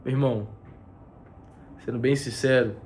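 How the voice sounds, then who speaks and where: A man's voice: medium pitch, steady tone, normal speed, darkish tone, a little hiss or hum. Earnest man with a stuffy head cold. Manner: earnest, repeats himself, many fillers and restarts. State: nasal and congested from a cold. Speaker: business mentor. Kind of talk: casual faith-based motivational talk to camera. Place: spot with a view over the city.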